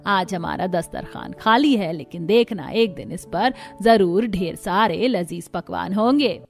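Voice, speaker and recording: A woman's voice: lowish voice, lively intonation, a little slow, gravelly, a little mellow, soft background music. A woman voicing a patient, poor elderly grandmother in a cartoon story. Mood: gentle consoling. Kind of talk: Hindi cartoon story voice acting.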